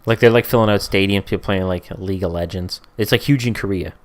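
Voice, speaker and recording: A man's voice: low-pitched, fast. A man co-hosting a podcast in casual comic chat, rambling and slangy as he jokes around. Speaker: podcast co-host.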